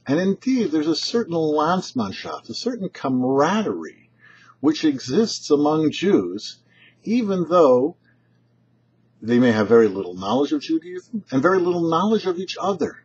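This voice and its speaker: Husky male rabbi with swooping intonation. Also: a little high, sing-song, measured pace, darkish tone. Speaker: rabbi, host of a weekly Torah portion show. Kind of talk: short scripted religious commentary, read aloud. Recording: dull, lo-fi, muffled audio.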